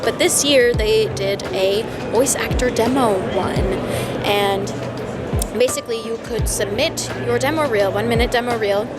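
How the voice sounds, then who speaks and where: An American woman giving a casual, enthusiastic, rambling vlog recap to her viewers. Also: medium pitch. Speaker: vlogger and game producer.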